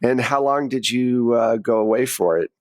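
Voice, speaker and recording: A man with a medium-pitched voice acts as game master. He talks conversationally, moving between hosting and narrating the scene for a tabletop roleplaying game. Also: normal speed, a little mellow, dry recording.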